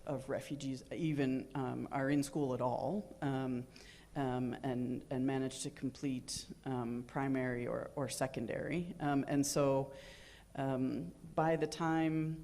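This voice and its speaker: A woman's voice: extremely low pitch, darkish tone. A woman moderating a conference panel, hesitant and full of ums and restarts. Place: conference room with live and virtual audience.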